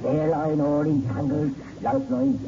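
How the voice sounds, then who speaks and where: Casting: vengeful murdered man's ghost, radio horror. Gravelly, menacing, swooping delivery; muffled, noisy old recording. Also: fairly high pitch, big pitch swings, heavily acted. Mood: accusing, taunting. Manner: taunting, menacing, drawn-out accusations. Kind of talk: 1960s radio horror acting.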